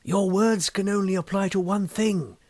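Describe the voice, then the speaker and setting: A man voicing an earnest, hot-tempered, wealthy young English gentleman in an audiobook story, speaking formally and emphatically. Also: high-pitched, normal speed, dry recording, pitched above the performer's own voice, natural voice. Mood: earnest, insistent. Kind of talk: audiobook character dialogue.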